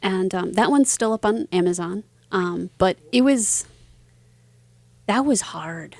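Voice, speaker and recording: A woman being interviewed as an author, answering conversationally in a reflective way with halting phrasing. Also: mid-range voice, expressive, creaky, mellow, dry recording.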